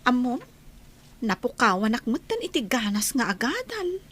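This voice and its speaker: A woman plays a lovestruck, defiant schoolgirl with an Ilocano Filipino accent. The voice sits high and swings widely in pitch, in the giddy style of radio comedy drama. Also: sing-song, moderate pace, a bit bright. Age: young adult.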